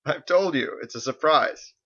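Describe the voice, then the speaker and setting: A man's voice: on the high side, lively intonation, moderate pace, pushed and bright, slightly rough, dull audio, pitched above the performer's own voice, exaggerated voice. A man voicing a secretive older husband in an audiobook, outwardly fond, teasing and coaxing. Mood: playful, teasing. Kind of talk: audiobook character voice.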